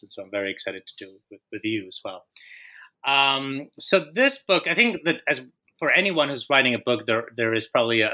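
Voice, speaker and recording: Hesitant man from Colombia, bright voice. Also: medium pitch. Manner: hesitant, many fillers and restarts. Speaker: guest author. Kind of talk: interview answers.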